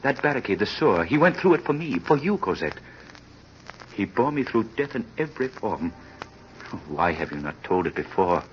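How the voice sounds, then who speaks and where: An actor playing an earnest, grateful young husband, speaking emphatically in vintage radio drama style. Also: male voice, on the low side, sing-song, normal speed. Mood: urgent, grateful. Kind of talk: 1930s radio drama acting. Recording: dull, noisy vintage radio recording.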